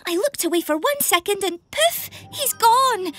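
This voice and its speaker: A woman playing a caring, anxious female train engine in a children's animated series, earnest and dramatic. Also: very high voice, moderate pace. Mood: fretful. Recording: music behind the voice.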